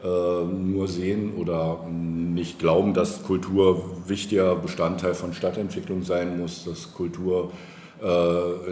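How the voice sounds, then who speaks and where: A man's voice: low voice, warm tone. A man being interviewed as a politician, speaking conversationally, hesitantly and wordily as he searches for words. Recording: roomy, echoing, with background noise.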